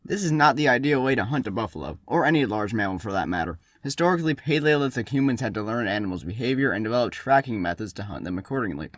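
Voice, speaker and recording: A man's voice: medium pitch, expressive, quick pace, few pauses, a bit bright, no room sound. Wry male history explainer, dry close sound. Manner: wry, tongue-in-cheek asides. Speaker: host of a comedic history series. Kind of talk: scripted narration, humorous explainer.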